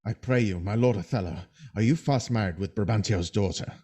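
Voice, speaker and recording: A man's voice: on the low side, fairly quick, darkish tone, breathy edge. A man playing a resentful, scheming soldier with theatrical delivery in a Shakespearean audio drama. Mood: urgent warning. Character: bitter, scheming male soldier plotting against his master.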